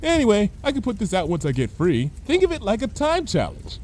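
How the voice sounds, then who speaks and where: A man voicing a brash, carefree female pony troublemaker in a chatty, animated story reading. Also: sits high, a little fast, voice pushed up. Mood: blithely arguing. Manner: breezy, chatty, blithely unconcerned. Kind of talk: animated fan fiction reading.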